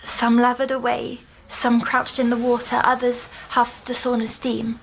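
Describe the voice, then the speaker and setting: A woman playing a timid young girl in an audio drama, recounting nightmares in a hesitant, halting way. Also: a little high, monotone, slight background noise, low-quality recording. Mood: uneasy. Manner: hesitant, halting, breaking off mid-phrase.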